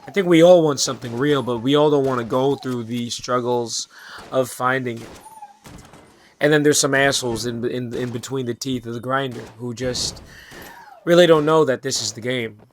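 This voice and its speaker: Hoarse, raspy young man rambling. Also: mid-range voice, slight background noise. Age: 20s. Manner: rambling and halting, many fillers and restarts, swears casually. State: hoarse from losing his voice. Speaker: channel host. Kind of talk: late-night personal monologue.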